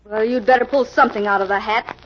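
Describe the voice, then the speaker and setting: A woman's voice: fairly high pitch, steady tone, fast talker, lightly acted. A woman playing a jaded, grasping fake medium in an old radio drama, with a weary manner. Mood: fed up. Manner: weary, sardonic, complaining. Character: jaded, grasping phony mystic, a princess medium. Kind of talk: 1940s radio acting. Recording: echoing, very noisy, dull old radio recording.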